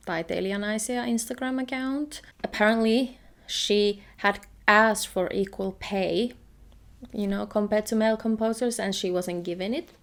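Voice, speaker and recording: A woman's voice: medium pitch, gravelly. A young woman from Finland with a Finnish accent, chatting casually in a vlog and laughing often. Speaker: vlogger.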